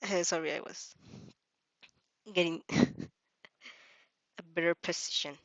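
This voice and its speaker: A woman's voice: fairly low pitch, steady tone, slow pace, dry recording, dull audio. A woman narrating a story in an informal way, stumbling now and then and slipping into casual joking asides. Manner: informal, stumbles over words and jokes about it. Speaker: host reading a fan fiction aloud with reactions.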